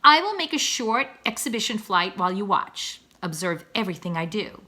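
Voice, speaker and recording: A woman's voice: medium pitch, big pitch swings, normal speed, sharp tone. A woman voicing a proud father swan in a children's storybook, with a pompous, florid, declamatory manner as he lectures his young. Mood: self-important. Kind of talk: children's storybook read-aloud.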